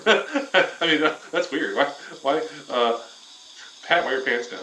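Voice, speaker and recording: A young man bantering crudely and teasingly while he plays a game, quick to laugh at his own jokes. His voice sits a little back from the microphone in a roomy space. A steady hiss of noise runs under the talk. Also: a little high. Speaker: streamer. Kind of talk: casual joking chat while gaming. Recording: roomy, distant, noisy home stream.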